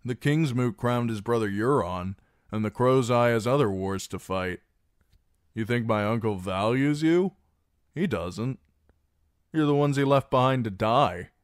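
A man's voice: fairly low pitch, unhurried, few pauses, dry recording, studio quality, voice pushed up, natural voice. A man voicing a broken, terrified young male captive who carries a message and pleads urgently with a hostile crowd. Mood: scornful.

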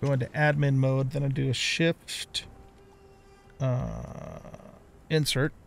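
A man's voice: medium pitch, wildly swooping pitch, slow, vocal fry, soft background music. A middle-aged man giving casual let's play commentary, thinking aloud as he plays. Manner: talks to himself, thinking aloud.

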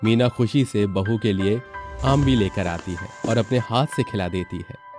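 A man's voice: fairly low pitch, unhurried, a little mellow, faint music behind. A man narrating a scripted family story.

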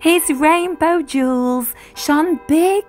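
A woman reading a picture book aloud expressively, in an animated way for young children. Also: sits high, slow pace, soft background music. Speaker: children's story reader.

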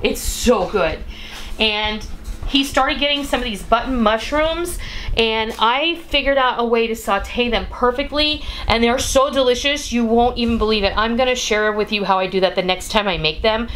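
High-voiced, folksy woman chatting over noise. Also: normal speed, a bit bright. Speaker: home cooking vlogger and host. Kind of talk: casual chatty haul narration. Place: room at home. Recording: clear background noise.

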